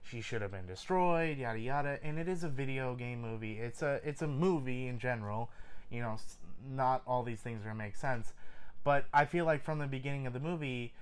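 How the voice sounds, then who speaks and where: A man with a clear, smooth voice at a middle pitch co-hosts a casual film review. He talks with enthusiasm and often repeats a phrase to drive it home. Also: a little fast. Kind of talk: casual movie review chat.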